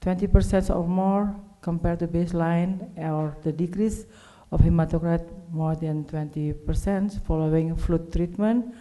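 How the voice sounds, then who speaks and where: A woman from Indonesia with an Indonesian accent gives a medical conference presentation in halting, non-native English as a specialist speaker. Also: on the low side, fairly even intonation, warm tone, rough recording. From Indonesia, Java. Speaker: clinical pathologist, conference speaker.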